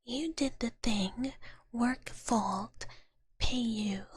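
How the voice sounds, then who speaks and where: A woman speaks in a hushed, breathy whisper. She is hosting a live ASMR stream and chats casually and softly with her viewers. Her delivery is informal and conversational. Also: on the high side, drawn-out words, dry recording. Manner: soft, casual, refers to herself in third person. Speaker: livestreamer, ASMR host.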